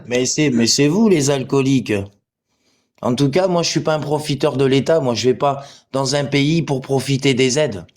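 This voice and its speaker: A man's voice: mid-range voice, normal speed. A man, crude and abusive, arguing with commenters on a livestream and swearing freely. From Portugal. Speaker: live stream participant. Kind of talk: livestream chat replying to commenters.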